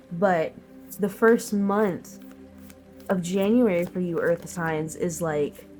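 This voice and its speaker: A woman's voice: fairly low pitch, darkish tone. A woman giving a collective tarot reading while sick, sounding nasal and sniffly, talking in a thoughtful, meandering way with many fillers. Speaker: tarot reader.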